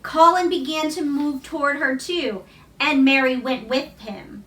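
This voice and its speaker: A woman reading a children's novel aloud as expressive storybook narration. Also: sits high, measured pace. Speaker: storybook reader. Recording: roomy echo, distant microphone.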